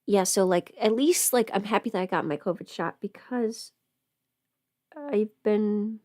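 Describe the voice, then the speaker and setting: A woman's voice: mid-range voice, lively intonation, unhurried, dark tone, no echo. Casual woman livestreamer, dry close sound. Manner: rambling, trailing off, talking to herself while busy. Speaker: livestream host. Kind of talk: casual chat while doing makeup.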